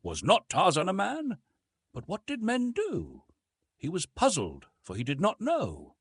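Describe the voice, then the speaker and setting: A man narrating a literary story from a script in an expressive, dramatic storytelling manner. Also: sits high, measured pace, husky, no echo, studio quality. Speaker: audiobook narrator.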